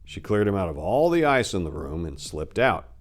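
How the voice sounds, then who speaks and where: A man's voice: low voice, sing-song, moderate pace. A man narrating a crime story as a dramatic reading, in the role of storyteller.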